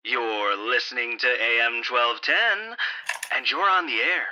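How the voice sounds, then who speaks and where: A man's voice: mid-range voice, measured pace, pushed and bright. A man playing a slick, exasperated local radio news host with a showy announcer delivery. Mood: showy, urgent. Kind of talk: radio broadcast acting. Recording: dull AM radio broadcast sound.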